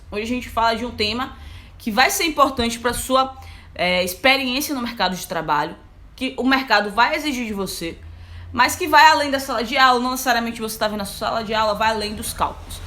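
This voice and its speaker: Chatty Brazilian woman engineer, lively. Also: a little high. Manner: lively, chatty, frequent fillers like "então, assim". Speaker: engineer and online mentor. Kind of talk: casual live talk to an audience.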